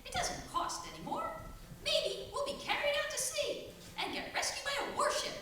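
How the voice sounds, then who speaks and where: Very squeaky, animated loyal dog. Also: female voice, moderate pace, full character voice. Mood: cheerful coaxing. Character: loyal, cheerful, reassuring farm dog. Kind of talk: stage radio-play acting. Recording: distant, very echoey hall.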